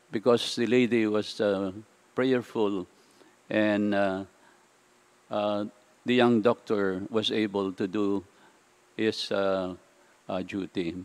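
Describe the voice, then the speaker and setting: An older man with a Filipino accent, a priest giving a homily in a large church through a lectern stand mic. He talks in a slow, halting way full of "uh" fillers and slips between English and Tagalog. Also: lowish voice, a little mellow. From Philippines. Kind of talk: sermon.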